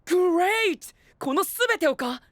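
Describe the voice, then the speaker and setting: An actor playing a proud, theatrical young gentleman knight with formal, grandiose speech in an anime-style voice drama. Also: very high voice, lively intonation, measured pace, dry recording, studio quality, full character voice. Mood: impressed. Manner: formal, grandiose, with exclamations in English.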